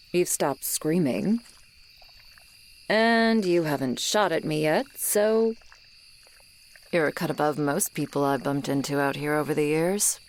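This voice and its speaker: A woman playing a gruff, lonely swamp monster girl who was once human, in a grudging, guarded and intimate ASMR roleplay delivery. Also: deep voice, a little hiss or hum. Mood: reluctant, softening. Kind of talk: ASMR roleplay, acted monologue to the listener. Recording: swamp ambience of crickets and frogs. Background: insects and frogs, swamp night ambience.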